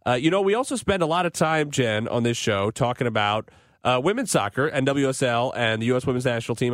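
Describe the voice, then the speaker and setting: Guy hosting sports radio, analytical and articulate, mid pitch, really dry close mic. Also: no echo. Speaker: sports radio show host.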